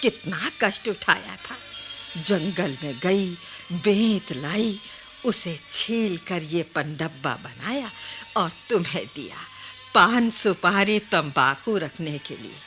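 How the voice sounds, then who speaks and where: A woman's voice: on the low side, lively intonation, slow pace, bright, forceful tone, soft background music, heavily acted. A woman playing a compassionate, chiding old village grandmother in a Hindi radio drama. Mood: reproachful. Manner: emphatic, repeating, chiding.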